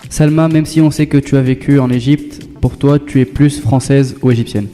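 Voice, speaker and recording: A man's voice: mid-range voice, fairly even intonation, moderate pace, warm tone, big-room sound, faint music behind. A man hosting a school web radio show, reading his links politely and thanking each guest.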